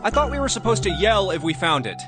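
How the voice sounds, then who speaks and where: A man's voice: sits high, very animated, fast, music in the background. A man voicing an earnest, easily baffled young hero in an anime-style game.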